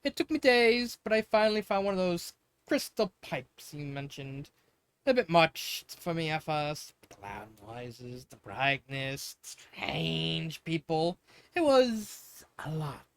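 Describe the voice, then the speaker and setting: A man's voice: on the high side, expressive, unhurried, smooth. A man reads as a young crystal prince from an underworld kingdom in a fanfiction story. The boy is polite and curious, speaking in a friendly, tentative, earnest way.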